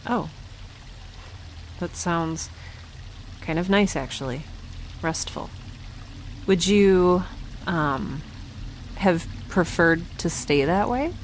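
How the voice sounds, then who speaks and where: A woman playing an injured, stubborn scientist in an audio drama, talking in a halting, defensive, naturalistic way. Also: on the low side, lively intonation, a little hiss or hum, rough recording. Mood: subdued, pained. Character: injured, stubborn, rambling woman scientist, dazed but defiant.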